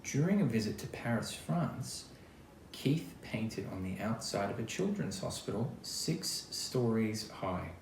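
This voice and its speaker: A man's voice: lowish voice, a little slow. A man reading a children's picture book aloud expressively, adding chatty little asides as he goes. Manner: expressive, acts out quoted lines, chatty asides. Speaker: storybook reader. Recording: roomy echo, faint background noise.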